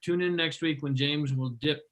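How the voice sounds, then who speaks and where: A man hosting a comedy show, tossing in quick asides between the comics. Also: fairly high pitch, steady tone, fairly bright tone, slight background noise. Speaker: host.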